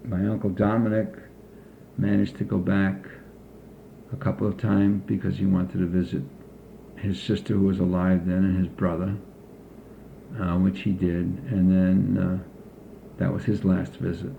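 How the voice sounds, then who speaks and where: An older man from the United States, recalling his childhood slowly and carefully in an oral history interview. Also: deep voice, flat delivery, vocal fry, narrow, tinny audio, slight background noise. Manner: slow, measured recollection with frequent pauses.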